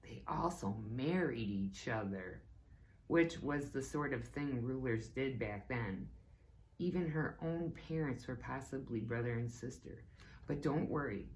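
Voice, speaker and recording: Slow, deliberate woman reading aloud. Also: a bass voice, a little mellow, a little hiss or hum, poor audio. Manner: slow, deliberate read-aloud with playful asides. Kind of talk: read-aloud book lesson.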